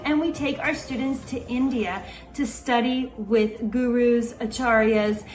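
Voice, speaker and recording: A woman yoga teacher speaking slowly and earnestly in a recorded video message. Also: a little high, fairly even intonation, rough recording. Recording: music behind.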